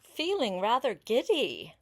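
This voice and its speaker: Older woman, grandmotherly storybook reader, very slow pace, lively pitch swings, chatty asides to a young listener. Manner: unhurried, expressive, explains words and asks questions. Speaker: grandmother reading a picture book aloud to a child.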